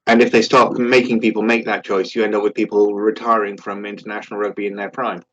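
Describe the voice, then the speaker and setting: Man, fast talker, lowish voice, lo-fi sound, casual podcast chat. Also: little pitch movement, a bit bright, a little hiss or hum. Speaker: podcast co-host.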